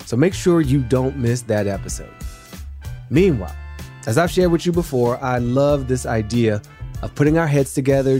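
Male podcast host, warm mellow tone, measured and earnest, faint music under him. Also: mid-range voice. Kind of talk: scripted intro and interview questions.

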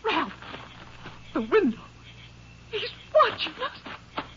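A woman's voice: very high voice, big pitch swings, takes it slowly, heavily acted. A woman acting a frightened farm wife in a 1950s radio drama, breathless and pleading. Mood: terrified. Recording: dull noisy old radio recording.

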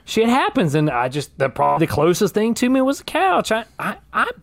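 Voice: mid-range voice, wildly swooping pitch, fairly quick, gravelly, faint music behind. A performer doing a stammering, flustered suspect girl. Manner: stammering, defensive, drawling slang. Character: nervous, flustered young woman murder suspect. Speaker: interviewee.

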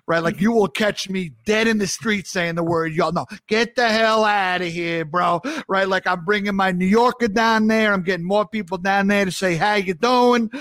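A man with a New York accent hosting a conversational interview, animated and rambling, with many restarts. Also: high-pitched, no room sound. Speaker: podcast host.

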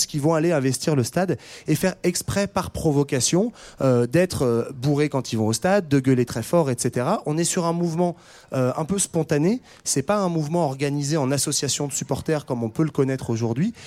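A man explaining history in a relaxed podcast conversation, with many fillers and restarts. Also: fairly high pitch, brisk. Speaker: podcast co-host. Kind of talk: casual history discussion.

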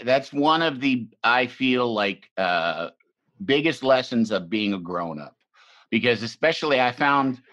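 A man's voice: medium pitch, a bit bright, dry recording. A middle-aged man from the American Midwest hosting a casual, reflective conversation, rambling and restarting his sentences and swearing now and then. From Illinois, US Midwest. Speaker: podcast host. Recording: slightly muffled dry recording.

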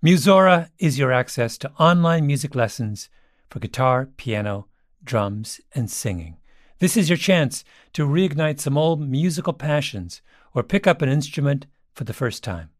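A man's voice: mid-range voice, animated, moderate pace, no room sound, clean studio sound. A man presenting a scripted advertisement in a conversational, direct-to-listener way.

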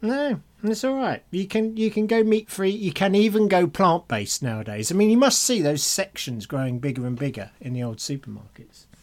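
A man's voice: sits high, lively intonation, normal speed, few pauses, a little mellow. A middle-aged man chatting casually as a podcast co-host, jokey and self-mocking. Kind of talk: casual chat.

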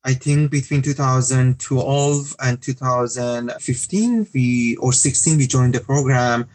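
A man's voice: mid-range voice, measured pace, a little mellow. Man. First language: Farsi. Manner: hesitant, with fillers and restarts. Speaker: guest. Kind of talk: casual interview talk.

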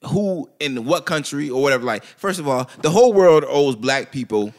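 A young man co-hosting a casual chat podcast, joking loudly and laughing often. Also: a little high, lively intonation, normal speed. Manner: loud laughing, joking, profane.

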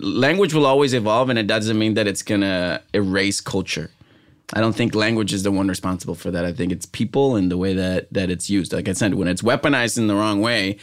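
A man with a Puerto Rican accent argues his points emphatically in a casual group chat. He often restarts his sentences and presses on, opinionated and sure of himself. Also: fairly low pitch. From Puerto Rico. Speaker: podcast co-host.

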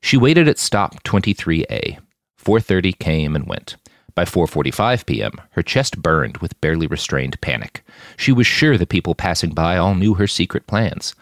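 A man's voice: low-pitched, big pitch swings, moderate pace, no room sound, clean studio sound. A man reading scripted fiction as the narrator of an audiobook-style podcast.

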